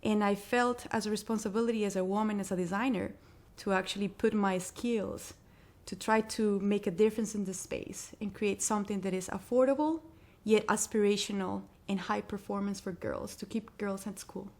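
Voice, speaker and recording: A woman's voice: medium pitch, fairly even intonation, moderate pace, a little mellow. A woman from Colombia, answering interview questions earnestly as a social entrepreneur.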